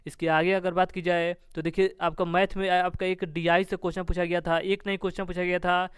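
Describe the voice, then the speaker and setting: A man's voice: high voice, level tone, fairly quick, smooth, dry recording, clean studio sound. A man giving an informal exam review as a coaching teacher, instructive and leaning on repeated stock phrases.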